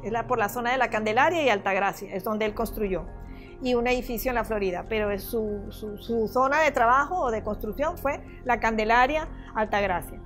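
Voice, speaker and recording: Older Venezuelan-born woman, interviewee giving personal testimony; fairly high voice, halting delivery, soft music underneath. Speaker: property owner giving testimony.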